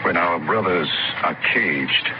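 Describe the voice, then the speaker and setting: An actor playing a gentle, eccentric old wandering preacher in a 1950s radio drama, solemn, pious and deliberate. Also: male voice, low-pitched. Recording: noisy, muffled old radio recording.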